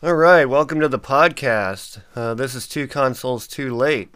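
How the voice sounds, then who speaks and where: A man's voice: mid-range voice, moderate pace, fairly bright tone, clear, resonant tone. A man in his forties hosting a solo podcast, sounding congested and stuffy, rambling casually with lots of ums and uhs. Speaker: podcast host.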